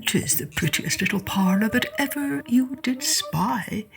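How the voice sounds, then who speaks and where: A woman voicing a cunning male spider in a storybook reading, coaxing with drawn-out, breathy flattery. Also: on the high side, music in the background, voice pushed up. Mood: coaxing, sly.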